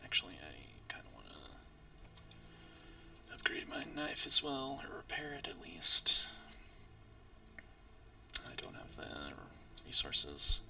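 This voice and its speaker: A man who is losing his voice from illness, giving casual let's-play commentary in a hoarse, mumbling, often half-whispered way. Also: extremely low pitch, big pitch swings, slow pace, long pauses, pushed and bright, recorded from a distance, lo-fi sound. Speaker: streamer playing a game.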